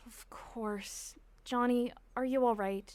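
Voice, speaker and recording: A woman plays a loyal, quick-witted teenage girl in a period audio drama. Her voice is high and expressive, with an earnest, caring manner, heard very dry. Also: clean tone, no echo. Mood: concerned.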